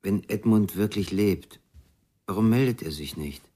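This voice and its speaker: Radio crime drama: terse male investigator, very low husky voice, guarded and questioning. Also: unhurried, mellow. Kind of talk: 1990s German radio crime drama acting.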